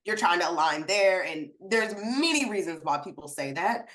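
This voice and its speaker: A woman co-hosts a podcast in a supportive, affirming manner, explaining her ideas in loose, conversational phrasing full of "like" and "you know." Her voice sits on the lower side for a woman. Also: expressive, normal speed, fairly bright tone, poor audio. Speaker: podcast co-host. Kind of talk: casual conversation. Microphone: video call.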